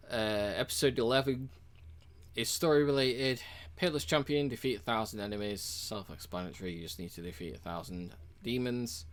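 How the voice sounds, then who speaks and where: A man casually walking through a game's achievements, relaxed and rambling, with frequent ums and uhs. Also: lowish voice. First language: English. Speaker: game reviewer.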